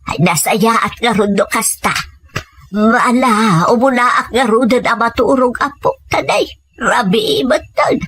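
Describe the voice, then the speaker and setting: A woman playing a caring, fretful old grandmother with a drawn-out, doting delivery in an audio drama. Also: on the high side, fairly bright tone, faint music behind. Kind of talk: Ilocano audio drama acting.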